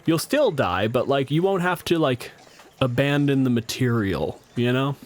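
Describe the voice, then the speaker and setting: A man's voice: medium pitch, expressive, normal speed. Male game master, mid-range voice, improvising scenes conversationally with dry, sweary humor. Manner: conversational, wry, profane. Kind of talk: improvised casual game narration.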